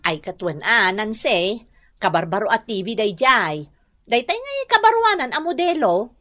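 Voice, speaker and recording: Headstrong, high-voiced Ilocano wife. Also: female voice, very animated, normal speed, a bit bright. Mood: boastful. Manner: insistent, defensive talk. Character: headstrong, showy wife who spends on credit. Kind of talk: Filipino radio comedy drama acting.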